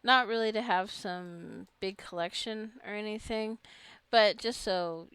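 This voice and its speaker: A woman's voice: medium pitch, unhurried, fairly bright tone, clean tone, no echo. A woman giving casual gameplay commentary, rambling and thinking aloud as she plays. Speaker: gamer narrating her own gameplay.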